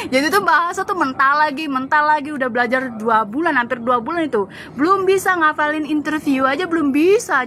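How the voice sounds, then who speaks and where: A young Javanese woman recounting her years as a domestic worker abroad in a lively casual chat, laughing often. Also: high-pitched, slight background noise. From Java, Indonesia. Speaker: former migrant domestic worker.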